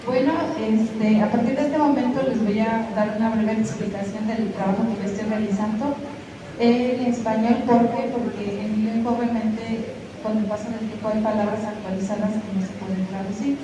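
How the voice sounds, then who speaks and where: A young woman whose first language is Mixtec, giving an earnest, measured academic presentation as a graduate student. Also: a little high, steady tone, muffled recording, crowd noise. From Guerrero, Mexico. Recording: roomy hall echo, distant mic, noisy.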